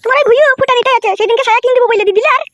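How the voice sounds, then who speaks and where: A woman's voice: very high-pitched, racing, a bit bright, lo-fi sound. A woman voicing a blunt, sulky, money-hungry village mother in a cartoon.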